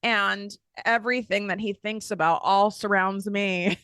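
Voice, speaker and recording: A woman's voice: mid-range voice, fairly even intonation, measured pace, fairly bright tone, smooth, no echo, studio quality. A woman hosting a motivational business podcast, talking candidly and with energy, acting out doubtful inner voices and laughing at herself. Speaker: podcast host and business coach. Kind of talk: motivational solo podcast talk.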